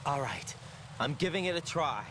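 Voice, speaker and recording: A man's voice: fairly high pitch, expressive, moderate pace, background noise, lightly acted. A man playing a terse, questioning soldier on a covert mission in a video game. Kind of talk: scripted video game voice acting.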